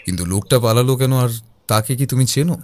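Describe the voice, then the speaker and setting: A man playing a composed detective who recounts his investigation in a measured, explanatory first-person narration. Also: medium pitch, a little mellow, close to an everyday voice. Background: background music.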